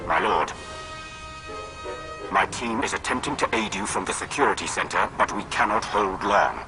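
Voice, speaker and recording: An actor playing a dutiful, grimly stoic Sith military lord in a video game, with formal, clipped delivery. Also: male voice, on the low side, moderate pace, over music, lightly acted. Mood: urgent. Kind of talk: video game cutscene acting.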